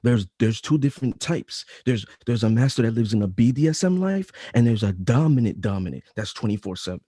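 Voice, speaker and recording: A man with a deep voice, a guest author talking casually in an interview, emphatic and slangy, often repeating his phrases. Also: expressive, brisk, warm tone, no room sound, studio quality. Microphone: home mic setup.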